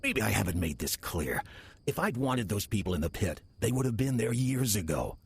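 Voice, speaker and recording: An actor playing a sly, smooth-talking demon who poses as a kindly old church deacon, speaking with measured, insinuating courtesy in a horror audio drama. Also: male voice, fairly low pitch, sing-song, full character voice. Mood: smug persuasion. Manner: measured, insinuating, mock-polite.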